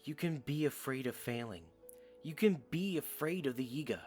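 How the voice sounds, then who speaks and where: A man's voice: mid-range voice, lively intonation, normal speed, a little mellow, soft background music, close to an everyday voice. A man playing a loyal young soldier in an audio drama, blunt, protective and earnest. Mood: earnest, encouraging. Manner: earnest, direct.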